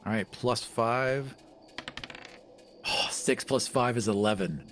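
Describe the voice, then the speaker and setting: A man's voice: medium pitch, takes it slowly, fairly bright tone, a little hiss or hum. Male tabletop player, middle register, casual descriptive narration of his character's moves.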